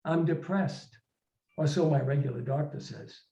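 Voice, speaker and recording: A man's voice: fairly high pitch, normal speed, creaky, mellow. A man voicing a depressed, defensive married man of forty-two in a weary, complaining, colloquial way, for a short story reading. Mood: glum, defensive. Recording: roomy, slightly dull room recording.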